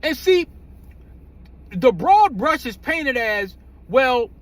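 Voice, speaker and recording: Very high-pitched man, blunt and profane. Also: big pitch swings, slow pace, a bit bright, no echo. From United States. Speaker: commentary host. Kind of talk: casual opinionated commentary.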